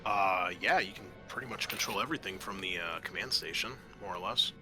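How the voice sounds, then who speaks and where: A man's voice: deep voice, fairly quick, bright, forceful tone, soft background music. A man acting as game master, explaining things casually and a little hesitantly at a tabletop game.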